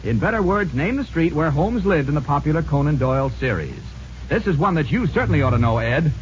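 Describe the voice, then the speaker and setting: A man's voice: fairly high pitch, expressive, a little fast, slight background noise. An American man hosting an old-time radio quiz show with folksy, chatty, teasing banter, on a muffled vintage recording. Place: radio studio show with audience.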